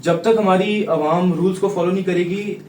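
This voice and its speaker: A man's voice: high-pitched, fairly even intonation, normal speed, darkish tone. A Pakistani male student in his late teens answering radio interview questions in a hesitant, earnest way with English terms mixed in. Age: teens, about sixteen or seventeen. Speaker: student guest. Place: radio studio. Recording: echoey, distant mic, faint noise.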